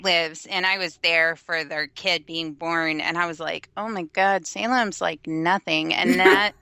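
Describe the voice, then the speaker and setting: Bright-voiced chatty Texan woman. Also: on the low side, a little slow, creaky, smooth, no room sound. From West Texas. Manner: chatty storyteller, lots of fillers. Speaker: blogger guest. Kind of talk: casual chat.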